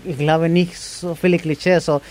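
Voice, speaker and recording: High-voiced man. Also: level tone, a little mellow. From Chile. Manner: halting non-native German, cheerful. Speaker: interviewee. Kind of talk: casual interview answers.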